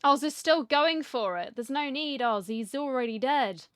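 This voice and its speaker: A woman's voice: high voice, expressive, normal speed, fairly bright tone, a little hiss or hum. A woman giving casual, playful gameplay commentary as a streamer, chatting back to the game's characters. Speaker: gaming streamer. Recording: game music behind the voice. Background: game music.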